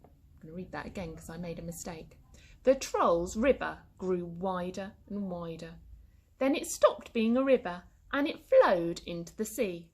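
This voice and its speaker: Animated woman reading a children's story slowly. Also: on the low side. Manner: animated, deliberate. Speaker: primary school teacher reading aloud.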